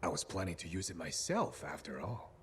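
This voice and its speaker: An actor playing a slippery, eccentric captive scientist in a video game, mocking and patronizing in a theatrical way. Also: male voice, deep voice, sing-song, a little fast, fairly bright tone, a little hiss or hum. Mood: slyly persuasive. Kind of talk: video game cutscene acting.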